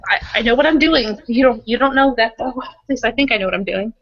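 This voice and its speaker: A woman's voice: on the high side, quick pace, lo-fi sound, a little hiss or hum. A woman playing a tabletop roleplaying game, speaking casually as her character and narrating the character's actions.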